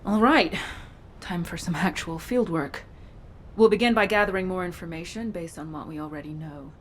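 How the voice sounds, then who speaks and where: An actress playing a driven, guarded cyborg commander in a video game, with a clipped, businesslike manner. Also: female voice, lowish voice, normal speed, distant mic, slight background noise, lightly acted. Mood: brisk, resolute. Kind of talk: scripted game dialogue.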